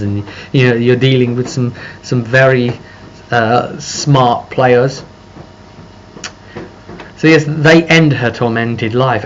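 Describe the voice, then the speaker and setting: A man giving a casual, rambling recap as a game master, halting and circling back over his phrases. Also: mid-range voice, fairly bright tone. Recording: muffled lo-fi audio with background noise.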